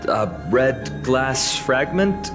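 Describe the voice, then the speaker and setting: A man's voice: medium pitch, big pitch swings, extremely slow, music in the background. A man playing an easygoing, curious and sympathetic young adventurer in a video game.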